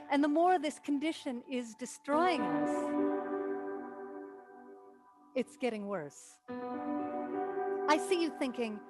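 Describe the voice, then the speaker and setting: Actress doing earnest anxious woman giving a talk, high voice, really slow and emphatic, stage play reading. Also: full character voice. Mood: grave, quiet, then impassioned.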